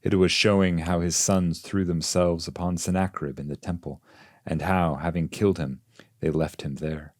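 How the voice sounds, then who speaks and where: A man reading a classic poem as literary audiobook narration, in a measured, solemn manner. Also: low-pitched, fairly even intonation, a little mellow, no echo, studio quality. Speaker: translator and reader of a classic poem.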